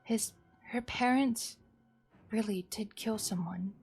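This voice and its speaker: A woman's voice: mid-range voice, slow, gravelly, darkish tone, slightly rough, soft background music. A woman streamer reading a horror visual novel aloud as a dramatic narrator.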